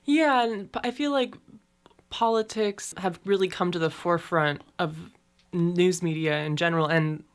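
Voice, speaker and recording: A woman's voice: fairly low pitch, normal speed. A woman co-hosting a podcast, talking frankly and casually, often saying "like". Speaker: podcast co-host.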